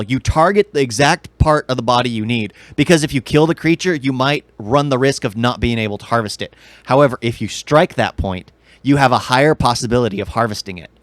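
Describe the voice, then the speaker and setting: A man's voice: mid-range voice, no room sound. A man talking casually as a podcast co-host, explaining things and giving his opinions. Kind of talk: casual chat about games and movies.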